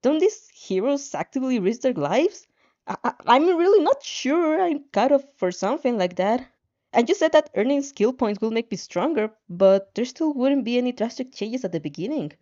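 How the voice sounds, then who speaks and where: A woman's voice: a little high, big pitch swings, normal speed, mellow, muffled recording. A woman voicing an anxious young hero-in-training in a fan fiction reading, reluctant, hesitant and full of doubt. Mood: worried, doubting. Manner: hesitant, stammering, worried.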